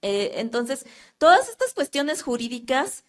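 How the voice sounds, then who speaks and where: A Mexican woman with a fairly high voice speaks up from the audience at a public talk. She talks earnestly but hesitantly, stopping often for fillers as she builds her point. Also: very animated, measured pace. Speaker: audience member asking a question. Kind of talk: question from the floor at a public talk.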